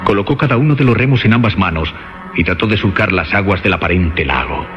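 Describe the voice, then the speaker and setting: A man narrates a horror radio drama with theatrical suspense. His lowish voice swings widely in pitch, with emphatic pauses, over prominent music. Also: expressive. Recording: dull lo-fi audio under music.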